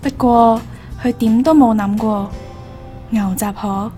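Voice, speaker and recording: High-voiced woman narrating a radio drama. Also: steady tone, takes it slowly, dark tone, faint music behind.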